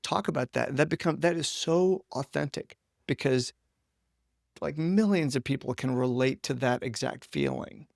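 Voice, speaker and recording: A man's voice: fairly high pitch, normal speed, creaky, no echo, clean studio sound. A man hosting an interview, chatting casually and putting questions to his guest. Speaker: podcast host interviewing a guest.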